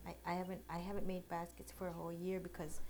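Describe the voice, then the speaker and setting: A woman in her late thirties speaks as an interviewee in a casual conversation. Her voice sits a little low for a woman. She is plain-spoken and halting, and keeps her answers short. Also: level tone, a little mellow, slight background noise. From Oneida. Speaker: interviewee, basket maker.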